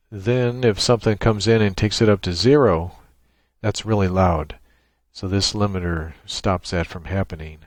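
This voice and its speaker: A man presenting an unscripted screen-share software tutorial in a halting, thinking-aloud way. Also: fairly low pitch, dry recording.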